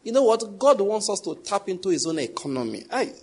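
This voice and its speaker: A man's voice: sits high, big pitch swings, brisk, darkish tone, slightly rough. A middle-aged Nigerian man with a Nigerian English accent, preaching a sermon in a conversational, humorous way. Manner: conversational, humorous, laughs at his own jokes. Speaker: pastor.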